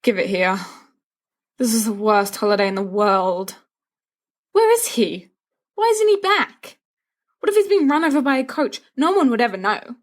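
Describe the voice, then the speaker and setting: A woman's voice: fairly high pitch, animated, normal speed, long pauses, pitched above the performer's own voice, close to an everyday voice. A woman voicing a sulky, dramatic teenage schoolboy who frets and complains in a storybook reading. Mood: fretting. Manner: melodramatic complaining.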